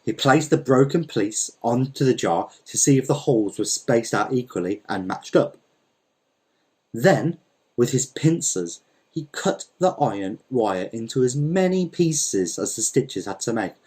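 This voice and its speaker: A man narrating a short story aloud in a measured, even storytelling manner. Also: medium pitch, breathy edge. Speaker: story reader. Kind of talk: audiobook narration.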